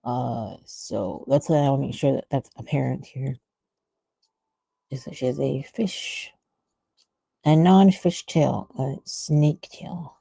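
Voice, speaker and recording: A woman with a very soft-edged, dark voice and a creaky fry talks through her art on a casual livestream. She muses aloud and lets thoughts trail off. The sound is close and dry. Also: very deep voice, takes it slowly, no room sound. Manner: musing, trailing off mid-thought. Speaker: artist streamer thinking aloud while drawing.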